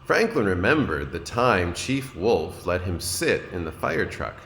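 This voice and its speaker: Man, kindergarten teacher, storybook read-aloud, very animated intonation, echoey room. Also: sits low, gravelly. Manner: expressive, deliberate, addresses young listeners with questions. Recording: roomy echo, noticeable background noise.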